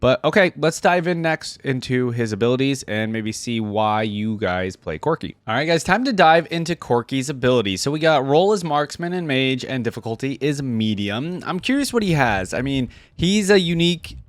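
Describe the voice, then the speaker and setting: Male reaction-channel host, mid-pitched, conversational and emphatic, very dry close sound. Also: animated, moderate pace, few pauses, dry recording. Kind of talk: casual reaction commentary with read-aloud passages.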